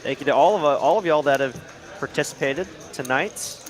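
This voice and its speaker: A man's voice: on the high side, fairly quick, crowd noise. A young man hosting a live poker stream, upbeat, thanking viewers and reading the chat aloud. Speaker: livestream host and poker commentator.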